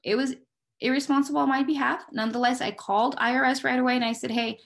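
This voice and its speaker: A woman's voice: high-pitched, fairly even intonation, fast, creaky. A woman with an American accent giving candid, conversational interview answers as a police dispatcher, explaining things step by step and sometimes posing her own questions.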